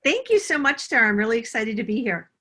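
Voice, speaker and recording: A woman's voice: on the high side, sing-song, quick pace, bright, forceful tone. A middle-aged woman answering interview questions as a guest author, explaining herself in a reflective, conversational way.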